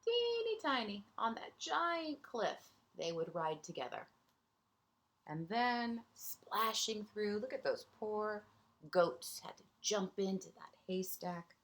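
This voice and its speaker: A woman's voice: mid-range voice, big pitch swings, slow pace, fairly bright tone. A woman teacher reading a picture book aloud to young children, expressively, with asides and questions to her listeners.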